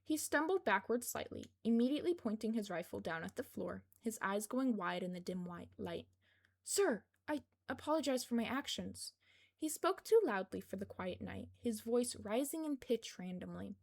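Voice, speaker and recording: A woman's voice: medium pitch, expressive, normal speed, creaky, few pauses. A woman reading scripted fiction aloud as an amateur audiobook narrator.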